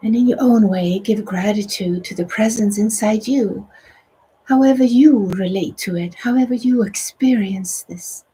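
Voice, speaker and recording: Soft-spoken Swedish woman, very breathy. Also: medium pitch, rough recording. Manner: soft, slow and soothing, often near a whisper. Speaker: meditation teacher. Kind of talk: guided meditation and spiritual teaching.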